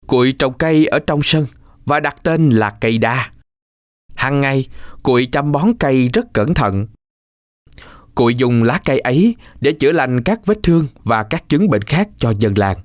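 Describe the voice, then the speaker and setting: A man narrates a scripted folk tale for children. His mid-range voice moves expressively up and down while the telling stays measured. The sound is close and dry, with little room to it. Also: mid-range voice, lively intonation, no room sound. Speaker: storyteller.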